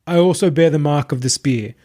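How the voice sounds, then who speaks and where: A man voicing a smooth, possessed internet idol who preaches to followers with calm, husky, grandiose assurance in an audio short story. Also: on the high side, brisk, voice pushed up, natural voice. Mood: soothing, preaching. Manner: calm, composed, grandiose, soothing patter to followers.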